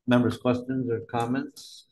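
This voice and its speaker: A man's voice: mid-range voice, flat delivery, measured pace, darkish tone. A man chairing a legislative committee hearing, running through measures in a conversational way with fillers, speaking into a desk microphone in a hearing room. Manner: conversational, thinks aloud with fillers. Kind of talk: formal hearing proceedings.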